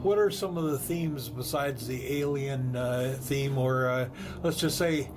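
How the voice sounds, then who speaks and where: Halting interviewer with many fillers. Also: male voice, medium pitch. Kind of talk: casual interview questions. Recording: noisy room behind.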